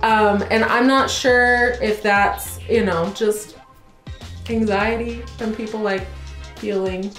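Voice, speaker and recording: A woman's voice: mid-range voice, fairly even intonation, slow, fairly bright tone, echoey room, faint music behind. A woman in her thirties from Oklahoma with an Oklahoma accent, chatting casually as a video host and laughing often. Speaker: real estate video host. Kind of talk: casual chat.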